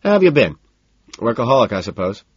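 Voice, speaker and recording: A man voicing a jovial, self-assured yuppie socialite banker in an audiobook story, loud and hearty. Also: medium pitch, big pitch swings, brisk, breathy edge, no room sound, lo-fi sound, voice pushed up. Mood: jovial, breezy.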